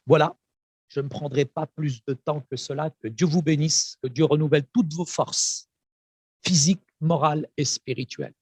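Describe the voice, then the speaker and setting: A man whose first language is Arabic, a pastor giving a fervent, emphatic testimony and encouragement with repetitions and pauses. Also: on the high side, steady tone, a little mellow, breathy edge, no echo.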